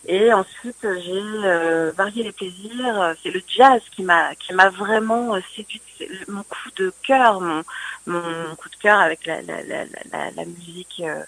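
A woman's voice: mid-range voice, a little slow, fairly bright tone, poor audio. A woman singer-songwriter being interviewed on the radio, hesitant and full of fillers and restarts. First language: French.

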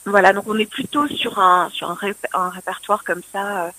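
A woman's voice: mid-range voice, steady tone, moderate pace, pushed and bright, poor audio. A woman singer-songwriter being interviewed on the radio, hesitant and full of fillers and restarts. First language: French.

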